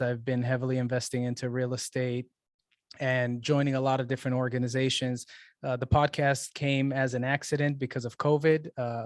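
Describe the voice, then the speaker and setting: Even-toned middle-aged man, dry sound. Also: mid-range voice, no room sound. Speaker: panel guest, podcaster. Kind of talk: casual, unscripted self-introduction. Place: online video call.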